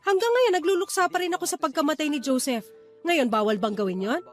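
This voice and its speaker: Sorrowful grieving mother, high voice, over music. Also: expressive, fast talker. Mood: defensive grief. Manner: emotional, sorrowful. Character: grieving mother Geneva, wounded, weary wife. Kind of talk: Filipino radio drama acting. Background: background music.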